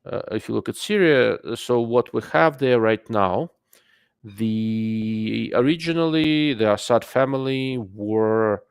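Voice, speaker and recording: A man's voice: medium pitch, slow pace, vocal fry, smooth, no echo, clean studio sound. A man with an accent hosting a live stream, talking loosely and rambling with lots of fillers as he comments and answers viewers. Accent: non-native English. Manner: rambling, filler-heavy, greets chat mid-sentence. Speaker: livestream host.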